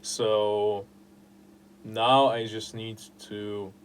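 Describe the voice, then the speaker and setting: Man, non-native russian speaker, somewhat low voice, halting and hesitant, faint background noise. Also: level tone. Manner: halting, searching for words. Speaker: podcast host and writer. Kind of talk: casual interview chat.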